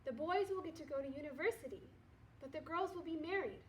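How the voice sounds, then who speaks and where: Casting: polite, earnest storybook girl, played by a woman, high voice, respectful, some background noise. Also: fairly quick, natural voice. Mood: determined. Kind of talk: children's storybook read-aloud.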